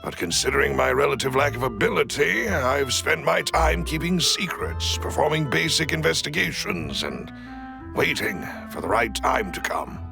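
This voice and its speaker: An actor playing a grave, loyal butler in a video game, speaking formally and deferentially with a gravelly edge. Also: male voice, medium pitch, lively intonation, over music. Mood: humble, measured. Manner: formal, deferential, measured explaining. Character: loyal, grave male butler and shrewd confidant. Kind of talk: dramatic video game cutscene acting.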